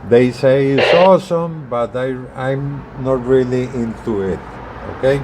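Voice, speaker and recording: A man giving a casual product demonstration as a video presenter, talking haltingly and repeating words as he explains. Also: mid-range voice, very noisy. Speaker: hobbyist video presenter, truck driver.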